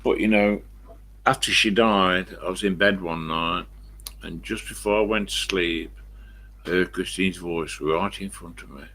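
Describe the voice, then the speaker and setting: An elderly English man with a croaky smoker's voice, rambling casually to viewers on a live stream and answering his own questions. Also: low voice, unhurried, a bit bright. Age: 70s or older. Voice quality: croaky, husky smoker's voice with a cough.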